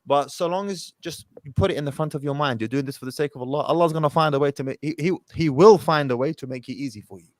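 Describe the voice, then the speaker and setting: A man's voice: a little high, fast, hardly any pauses, darkish tone, no echo. A man hosting a call-in show, giving casual religious advice in an informal, emphatic way peppered with Arabic phrases.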